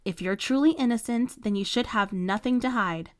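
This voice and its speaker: A woman voicing a patient female teacher who speaks in a calm, measured, gently firm way. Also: on the high side, no room sound, studio quality, close to an everyday voice. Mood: stern. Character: patient adult female teacher, stern but kind.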